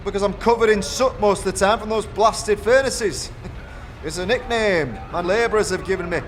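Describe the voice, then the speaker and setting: A man acts out a gruff, boastful yard foreman for a fantasy audio drama. The voice is pitched high, with rustic grammar and a blustering, self-justifying manner. A faint noise sits behind it. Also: moderate pace, close to an everyday voice. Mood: smug, self-important. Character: gruff, self-important, rough foundry foreman, defensive and boastful.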